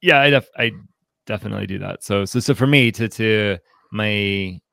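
Male podcast co-host, low register, reflective and halting book discussion, very dry recording. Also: gravelly, fairly bright tone, no echo. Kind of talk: conversational book commentary.

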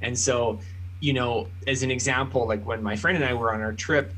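A man's voice: medium pitch, steady tone, normal speed, fairly bright tone, poor audio. A man, an author and podcast guest, explaining ideas in a thoughtful way with plenty of examples. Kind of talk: explanatory interview talk.

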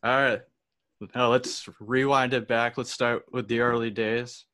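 Bright-voiced young male interviewer. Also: medium pitch, little pitch movement, measured pace. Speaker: interviewer, host. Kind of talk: casual chat, informal slang.

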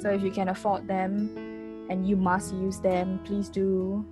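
A woman's voice: mid-range voice, monotone, a little slow, music in the background. Young Singaporean woman, chatty podcast co-host. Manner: chatty, laughs often, drops Singlish particles. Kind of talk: casual chat.

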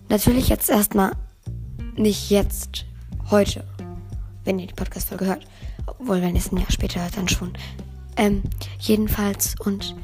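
A hoarse teenage girl hosting her own podcast, chatting casually and rambling, often correcting herself. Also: mid-range voice, a little mellow. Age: teens. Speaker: podcast host. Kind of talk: casual solo podcast chat.

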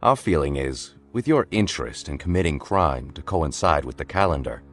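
A man's voice: rumbling low, lively intonation, moderate pace. A man voicing a weary middle-aged police commissioner who speaks earnestly and insistently. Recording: faint music behind.

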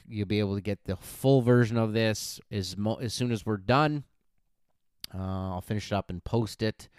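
A man's voice: on the low side, clear, resonant tone, dry recording, clean studio sound. A man hosting a fitness podcast and livestream, talking loosely and encouragingly, with many fillers and restarts. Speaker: podcast host and fitness coach. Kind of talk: casual podcast and livestream wrap-up.

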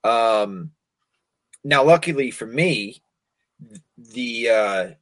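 A man's voice: mid-range voice, lively intonation, drawn-out words, a bit bright, dry recording, rough recording. A man from the United States hosting a casual talk show, ranting sarcastically, swearing constantly and stumbling over hard words. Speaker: podcast host. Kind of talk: casual profane rant.

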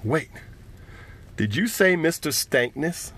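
Man doing a calm loving storybook mother, low, quiet and slow. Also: husky, exaggerated voice. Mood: gentle. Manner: hushed, gentle.

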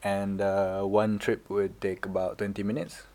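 Deep-voiced Malaysian man chatting. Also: little pitch movement, a little slow, a little mellow. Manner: joking, rambling with fillers. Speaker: podcast co-host. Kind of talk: casual chat mixing English and Malay.

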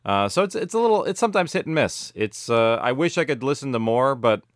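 A man's voice: medium pitch, fairly quick, no echo, studio quality. A middle-aged man chatting casually as an interview guest, rambling and correcting himself as he goes. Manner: animated rambling, self-correcting, peppered with "like" and "you know". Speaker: interview guest, audio drama producer.

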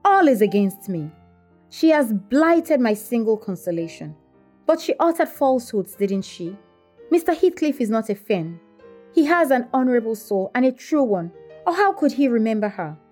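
A woman's voice: on the high side, sing-song, normal speed, darkish tone, soft background music, voice pushed up. A woman voicing an infatuated, defiant young girl in an audiobook novel, petulant and impassioned. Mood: tearful. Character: infatuated, passionate teenage girl, indignant and defiant.

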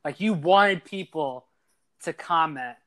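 A young man in his twenties hosts a sports podcast. His voice sits a touch high, and he talks in a lively, teasing way, full of quick asides. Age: 20s. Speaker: podcast host. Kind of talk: casual sports podcast chat.

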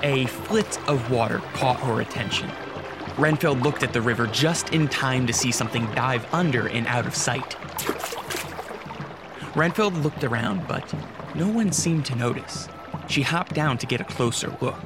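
A man's voice: medium pitch, moderate pace, music in the background. A man narrating a scripted radio drama as a storyteller.

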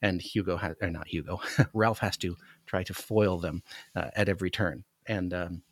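Low-pitched American man chatting casually. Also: steady tone, normal speed, dry recording. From United States, Alaska. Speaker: podcast guest. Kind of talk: casual chat.

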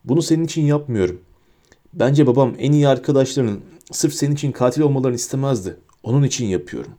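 A man's voice: medium pitch, quick pace, mellow, lightly acted. A man voicing an earnest, breathless teenage boy wizard who speaks urgently. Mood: firm.

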